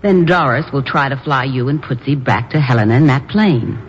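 A woman's voice: rumbling low, brisk. A woman playing a ruthless, condescending, commanding smuggling boss in a 1950s radio spy drama. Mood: smug. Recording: dull, noisy vintage radio recording.

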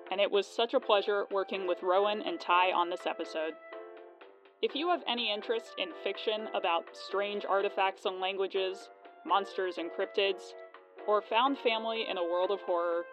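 A woman's voice: mid-range voice, moderate pace, music in the background. A woman podcast host reading scripted closing credits.

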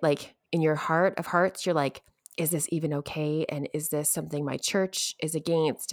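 A woman's voice: low voice, no echo, clean studio sound. A woman co-hosting a podcast, chatting casually in a reflective, hedging way with frequent laughter. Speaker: podcast co-host. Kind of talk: casual chat.

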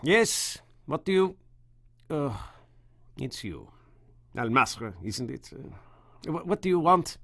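An actor playing a wary, irritable museum curator in a radio drama, muttering hesitantly. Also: male voice, a little high, big pitch swings, slow pace, creaky, a bit bright, heavily acted.

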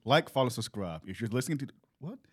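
A man's voice: medium pitch, expressive, fast talker, slight background noise. A man from North Carolina co-hosting a podcast, chatting casually and telling stories. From North Carolina, United States. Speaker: podcast co-host. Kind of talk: casual chat and storytelling.

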